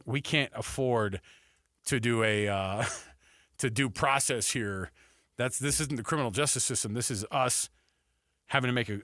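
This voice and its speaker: Male sports talk host, medium pitch, conversational, repeats for emphasis, close dry studio mic. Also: pushed and bright, no room sound. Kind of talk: casual sports talk commentary. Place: radio studio. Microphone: close studio microphone.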